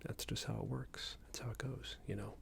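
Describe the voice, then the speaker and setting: A man playing a smug, unreasonable boss in an ASMR roleplay, scolding an employee in a soft breathy whisper with a hesitant, condescending manner. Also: fairly even intonation, moderate pace. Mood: smug, self-pitying. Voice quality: breathy soft whisper with low creaky murmur. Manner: hesitant, condescending, meandering reprimand.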